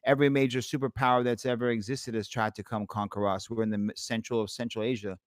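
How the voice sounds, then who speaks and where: A man's voice: medium pitch, level tone, quick pace, dry recording, clean studio sound. An American man in his forties talking casually in a debate, blunt and rambling, with plenty of swearing. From United States. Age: 40s. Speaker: podcast host.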